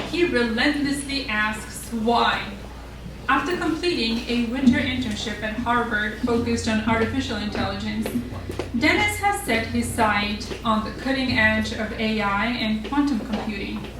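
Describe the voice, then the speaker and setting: Female event host in an echoey hall. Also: fairly high pitch. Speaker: event host introducing speakers. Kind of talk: scripted introduction. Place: stage in a reverberant room. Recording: echoey hall from far off, noisy.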